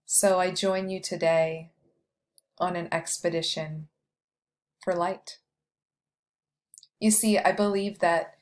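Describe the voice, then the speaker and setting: A woman leading a guided meditation, speaking slowly and softly with long pauses between phrases. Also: on the low side. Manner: slow, soft, soothing delivery with long pauses.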